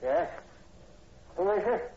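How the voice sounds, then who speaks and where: A man's voice: slow pace, close to an everyday voice. Guy doing grouchy threatening farmer in his forties, old time radio drama, muffled with some noise. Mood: wary, curt. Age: middle-aged. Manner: curt, irritable, threatening. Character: hostile, reclusive, ill-tempered farm landowner in his forties. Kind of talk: 1940s radio horror acting. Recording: dull, noisy old radio broadcast recording.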